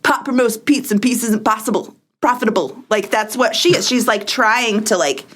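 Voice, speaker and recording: A woman's voice: on the high side, normal speed, a bit bright. A woman co-hosting a podcast, speaking in a theatrical, emphatic and sardonic way. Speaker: podcast co-host. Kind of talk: mock-dramatic reading of tweets, mixed with casual chat.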